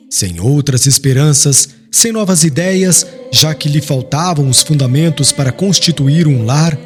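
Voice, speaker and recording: A man with a Brazilian accent reading a dramatic audiobook narration in a solemn, literary way. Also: fairly high pitch. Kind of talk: scripted dramatic storytelling narration.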